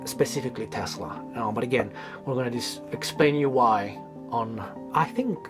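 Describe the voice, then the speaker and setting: A man from Greece co-hosts a travel vlog, talking eagerly with a few halting fillers. His voice sits at a middle pitch. Music plays clearly under the talk. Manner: enthusiastic, a bit halting with fillers. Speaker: vlog co-host. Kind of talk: casual chat to camera.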